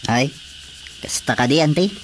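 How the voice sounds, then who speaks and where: An actor playing an eager, hesitant young brother-in-law in an Ilocano radio comedy drama, with an Ilocano accent. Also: male voice, a little high, moderate pace, close to an everyday voice. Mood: eager, deferential. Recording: faint background noise with crickets.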